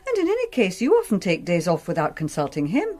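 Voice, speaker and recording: An actress playing a calm, reasonable woman, gently challenging, in a radio crime drama. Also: fairly low pitch, fast, faint music behind. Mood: reasoning. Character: calm, reasonable woman soothing an aggrieved man.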